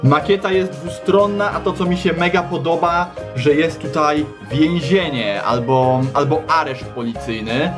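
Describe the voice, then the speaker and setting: Young guy, slightly high voice, hyped slangy toy vlog host, music playing behind him. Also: measured pace. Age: young adult. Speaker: host and presenter of a toy review show. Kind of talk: playful news-show parody and toy review vlog. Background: background music.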